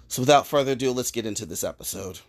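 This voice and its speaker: Male podcast host, measured and explanatory, mid-range voice. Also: medium pitch. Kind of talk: podcast conversation.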